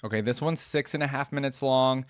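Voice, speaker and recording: A man's voice: mid-range voice, a little fast, clear, resonant tone, faint music behind. A man giving casual reaction commentary, informal and musing aloud as he goes. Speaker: reaction video host.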